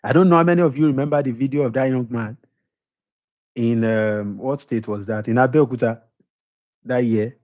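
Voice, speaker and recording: A man's voice: medium pitch, moderate pace, pauses often, a little mellow. A middle-aged man with a Nigerian accent giving an emphatic motivational lecture, repeating his points and putting questions to the audience. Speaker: motivational speaker.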